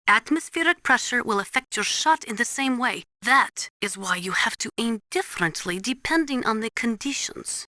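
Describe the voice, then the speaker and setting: A woman's voice: fairly high pitch, expressive, moderate pace, few pauses, pushed and bright, no echo, studio quality. A woman voicing a serious, knowledgeable military analyst in a video game, briefing in a precise, formal, instructive way. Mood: matter-of-fact.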